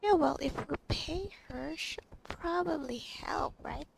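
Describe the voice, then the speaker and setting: A woman's voice: high-pitched, measured pace, gravelly, background noise. A woman giving casual, offhand commentary in a murmur while she plays a video game. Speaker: gamer recording own playthrough. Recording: game music behind the voice. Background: video game music.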